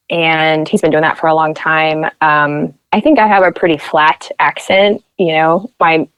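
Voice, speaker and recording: A woman's voice: low-pitched, no echo, low-quality recording. A young woman from Nebraska, a podcast guest, telling stories in casual chat and acting out what people said. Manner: chatty storyteller who acts out quoted voices.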